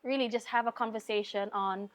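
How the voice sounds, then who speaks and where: A woman from Fiji with a Fijian English accent, hosting a casual interview with a band and asking questions with soft fillers. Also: a little high, fairly even intonation, a little fast. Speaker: podcast host.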